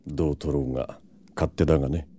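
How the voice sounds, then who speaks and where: A man acting a proud, gruff yet protective vampire lord in an audio drama, brusque and self-assured. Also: a bass voice, fairly even intonation, fairly quick, dark tone, a little hiss or hum. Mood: cool, defiant.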